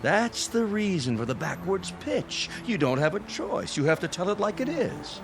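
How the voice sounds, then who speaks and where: A man's voice: sits high, big pitch swings, normal speed, noisy background. A man playing a folksy, wily local politician in an audio drama, blustering and full of old-fashioned slang. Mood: incredulous. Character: folksy, wily local politician haggling over a used car.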